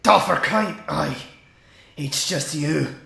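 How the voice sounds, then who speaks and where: A man playing a gruff, sarcastic vampire-hunting soldier in a fantasy audio drama. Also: a little high, slow pace, sharp tone, full character voice. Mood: grim. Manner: blunt, sarcastic. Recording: echoey, slightly noisy.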